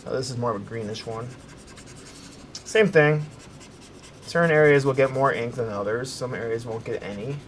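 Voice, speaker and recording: Soft-spoken man talking through his coloring. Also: mid-range voice, moderate pace, pauses often, a little hiss or hum. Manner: relaxed, thinking aloud while working, with fillers and self-corrections; soft-spoken, hoping to sound calming. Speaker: art tutorial host. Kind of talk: casual coloring tutorial narration.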